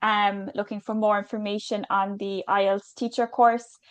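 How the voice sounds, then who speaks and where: A woman's voice: medium pitch, little pitch movement, a little slow, clear, resonant tone, no echo, lo-fi sound. Brief Irish woman, webinar host, chatty and reassuring, dry close sound. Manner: chatty, filler-heavy, reassuring. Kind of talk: webinar Q&A, reading out questions.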